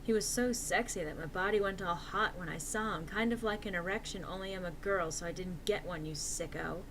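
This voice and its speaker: A woman's voice: fairly low pitch, a little fast, noisy background. A woman reading a story aloud as narrator in a theatrical, mock-serious and emphatic comic style.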